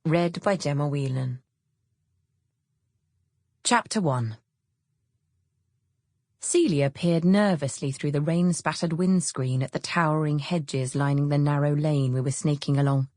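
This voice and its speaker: A woman with an English accent reading a novel as an expressive audiobook narrator in a studio. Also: sits low, a little slow, long pauses, dry recording. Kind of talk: expressive scripted literary narration. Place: dry studio.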